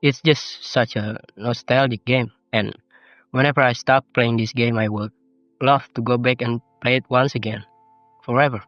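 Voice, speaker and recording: Teenage male vlogger, Indonesian accent, non-native English, reflective and self-deprecating, slightly lo-fi voice-over with clear music underneath. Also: medium pitch, steady tone, normal speed, a bit bright, dry recording. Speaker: YouTuber. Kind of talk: personal vlog narration. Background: game soundtrack music.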